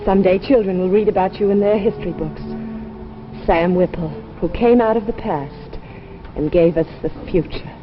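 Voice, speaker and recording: A woman's voice: medium pitch, normal speed, lightly acted. An actress playing a tender, admiring woman from the future in a 1950s radio drama, earnest and consoling. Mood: tender, reverent. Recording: muffled vintage radio with prominent music.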